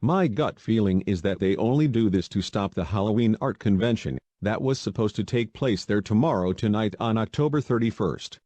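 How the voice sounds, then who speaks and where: Man playing a mellow-voiced TV news anchor. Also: fairly low pitch, lively intonation, normal speed, hardly any pauses. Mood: grave, concerned. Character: male local TV news anchor reporting an attack. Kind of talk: scripted newscast reading.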